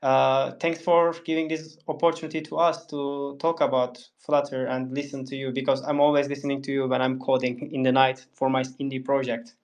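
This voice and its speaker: A man's voice: mid-range voice, level tone, fairly quick, few pauses. A man whose first language is Turkish, speaking politely and carefully as an audience guest on a tech chat. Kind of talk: casual chat.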